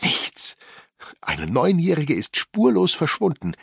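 A man voicing a distraught, furious mother with loud, accusing outbursts in an audiobook. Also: a little high, wildly swooping pitch, takes it slowly, creaky, breathy edge, dry recording, clean studio sound, voice pushed up, full character voice. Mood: desperate, furious.

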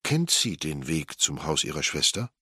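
A man's voice: rumbling low, sing-song, moderate pace, pushed and bright, slightly rough, no room sound, clean studio sound, exaggerated voice. A man voicing a firm, probing woman investigator who questions witnesses urgently in an audiobook.

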